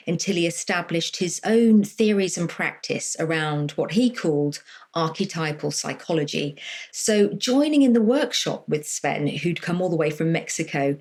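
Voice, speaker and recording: A woman co-host eagerly reads a scripted podcast segment. Her voice sits a little low. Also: a little mellow.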